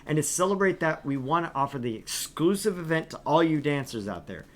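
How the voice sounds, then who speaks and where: A man hosting a let's play, reading a visual novel aloud with lively, animated delivery and joking asides. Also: on the high side, brisk.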